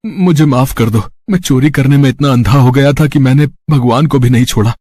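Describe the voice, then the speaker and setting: A man's voice: mid-range voice, a little fast, warm tone, dry recording, clean studio sound, pitched below the performer's own voice, natural voice. A man voicing a sullen, luckless village thief, grumbling and self-pitying, in a moral-story cartoon. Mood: remorseful.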